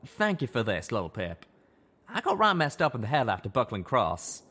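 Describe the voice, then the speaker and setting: A man's voice: medium pitch, huge pitch swings, normal speed, fairly bright tone, slightly rough, pitched above the performer's own voice, exaggerated voice. A man voicing a blunt, loyal male pegasus pony with a folksy drawl. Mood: heartfelt. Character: male cowboy-like pegasus pony, plain-spoken loyal friend.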